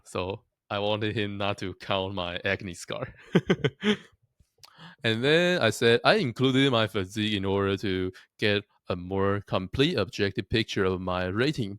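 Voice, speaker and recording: A man's voice: lowish voice, fairly bright tone, clear, resonant tone, no echo, studio quality. A young man from Taiwan talking casually to his viewers, chatty and self-deprecating, often laughing at himself. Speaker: vlogger. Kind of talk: casual talk to camera.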